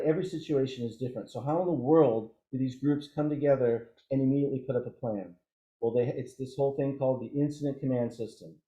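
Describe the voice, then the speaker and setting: Guy teaching a training class, really soft dark voice, kinda halting, muffled echoey recording. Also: mid-range voice. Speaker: emergency radio trainer. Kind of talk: informal instructional presentation. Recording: dull, roomy, echoey audio.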